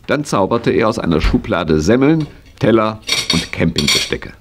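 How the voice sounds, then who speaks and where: A man's voice: fairly low pitch, sing-song, moderate pace, background noise. A man acting as the narrator of a children's radio play, telling the tale in scripted storytelling style. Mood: calm.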